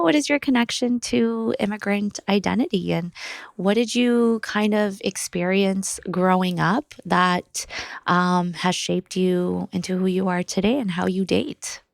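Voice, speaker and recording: A woman's voice: medium pitch, gravelly, no room sound. A woman hosting a podcast interview, asking conversational questions in a friendly manner. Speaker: podcast host.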